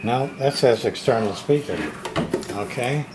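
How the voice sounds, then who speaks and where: A man's voice: medium pitch, a little slow, very noisy. A man casually narrating a hands-on unboxing as a radio repair hobbyist, talking to himself in folksy short fragments.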